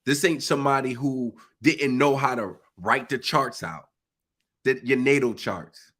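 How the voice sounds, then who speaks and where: A man's voice: medium pitch, measured pace, fairly bright tone. Stuffy, preachy man. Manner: preachy, emphatic, uses informal speech. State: congested, stuffy nose. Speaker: live-stream speaker. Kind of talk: impassioned live monologue.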